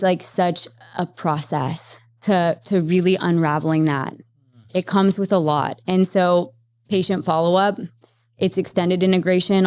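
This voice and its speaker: A woman therapist speaking as a podcast guest, explaining at length in a lively, conversational way with many fillers. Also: on the low side, smooth. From Las Vegas, Nevada, USA. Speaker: guest, psychedelic integration therapist. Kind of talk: conversational interview.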